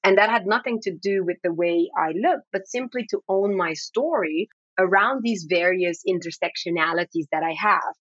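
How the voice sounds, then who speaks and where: A woman who grew up in the Netherlands and is a native Dutch speaker, giving thoughtful, measured answers as an interview guest. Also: on the low side, fairly bright tone, no room sound, highs cut off.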